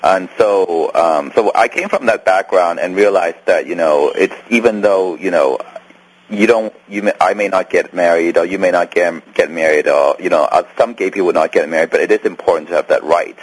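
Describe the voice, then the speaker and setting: Male guest, Hong Kong accent, deep and husky, meandering answers. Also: moderate pace, low-quality recording. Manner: rambling, many restarts and fillers. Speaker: filmmaker guest. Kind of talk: interview.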